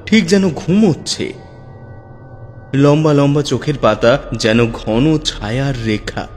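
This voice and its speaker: A man narrating a first-person horror story in a measured, suspenseful manner. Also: mid-range voice, darkish tone. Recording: long hall reverb with music. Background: faint background music.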